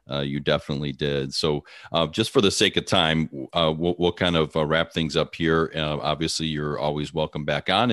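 A man's voice: rumbling low, fairly bright tone, dry recording, studio quality. A man hosting a podcast interview in a casual, friendly way, with many fillers and repeated words. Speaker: podcast host.

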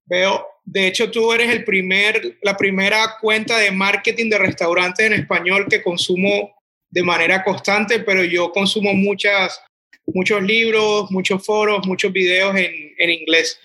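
A man's voice: sits high, fairly even intonation, a little slow, sharp tone, low-quality recording. A man in his twenties with a Colombian accent, a restaurant owner answering interview questions in a rambling way, full of restarts. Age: 20s. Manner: rambling, many restarts and "o sea".

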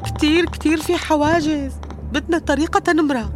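An actress playing a despairing nurse from the Syrian coast, speaking with a Syrian coastal accent in a resigned, pleading way. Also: female voice, fairly even intonation, fairly quick, natural voice. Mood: practical. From Latakia countryside, Syria. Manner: low, resigned, pleading to be left alone. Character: weary woman nurse, despairing, bitter at being distrusted. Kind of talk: radio drama acting. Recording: music bed behind the voice. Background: music.

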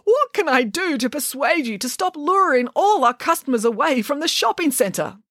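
A woman's voice: a little high, very animated, quick pace, a bit bright, no echo, pitched above the performer's own voice. Woman as anxious male shop manager, storybook character, begging, whiny, raised voice, dry sound. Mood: desperate. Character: flustered, pleading male shopping centre manager.

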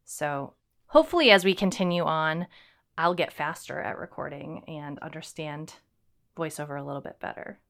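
A woman's voice: sits low, normal speed, creaky, a bit bright. A woman co-hosting a casual home-recorded podcast, upbeat and teasing, with fillers. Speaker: writer and podcast co-host. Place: home recording setup in a house.

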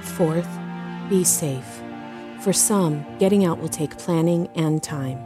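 Lower-voiced woman reading over music. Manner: earnest, supportive, measured. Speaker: podcast cast member and announcer. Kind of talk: scripted outro message.

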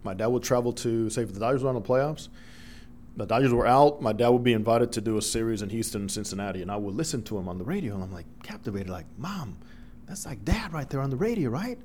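A middle-aged man from the Dominican Republic whose first language is Spanish, a broadcaster telling lively stories as a guest in an interview. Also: medium pitch, fast, slight background noise. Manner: animated storyteller, acts out quoted voices. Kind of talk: storytelling interview.

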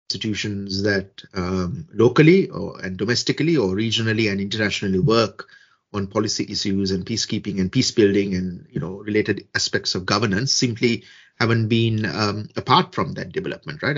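Measured husky man from Sri Lanka. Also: on the low side, fairly bright tone, muffled recording. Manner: measured, deliberate, with frequent fillers and restarts. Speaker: guest expert on social media and peace building. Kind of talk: podcast interview answers.

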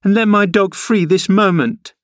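A man voicing a haughty, spoiled little girl in a storybook, imperious and childish, quick to indignant exclaiming. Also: medium pitch, lively intonation, no echo, clean studio sound, pitched above the performer's own voice, natural voice.

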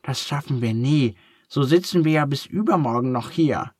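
Man doing a shy clumsy baby elephant, kinda whiny and hesitant, husky. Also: mid-range voice, lively intonation, a little slow, dry recording, clean studio sound, pitched above the performer's own voice. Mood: pleading. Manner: hesitant, stammering, plaintive. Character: timid clumsy young male elephant, gentle and sad.

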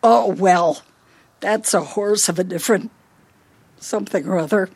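Husky, slow-talking older American wife played by an actress. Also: female voice, mid-range voice, darkish tone. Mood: pensive, hesitant. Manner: scolding, fussy. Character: bossy, forgetful, bickering older wife. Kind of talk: radio play acting.

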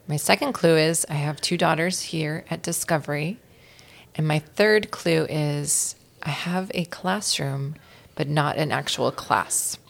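A woman guest reader giving expressive narration of a children's storybook. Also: sits low, measured pace, vocal fry.

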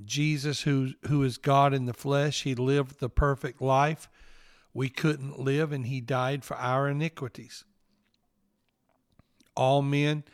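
A man in his fifties with a Southern accent, hosting a devotional podcast and speaking in an earnest, gently preaching way. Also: medium pitch, no echo, clean studio sound. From Northeast Mississippi, US.

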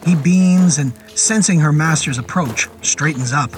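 A man narrating a scripted horror story in a measured, descriptive storytelling manner. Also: fairly high pitch. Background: music under the voice.

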